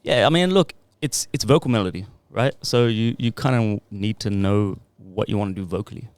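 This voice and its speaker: Male musician guest, low gravelly voice, laid-back and jokey, very close dry sound. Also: normal speed, a little mellow, no echo. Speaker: guest talk box musician. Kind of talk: casual chat, explaining his craft.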